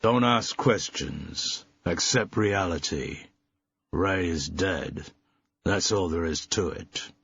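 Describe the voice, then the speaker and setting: A man voicing a big, slow-witted young man who talks with a slight borrowed American twang in a staccato, rehearsed way. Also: low voice, vocal fry, fairly bright tone, husky, no echo, muffled recording, heavily acted. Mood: flat menace.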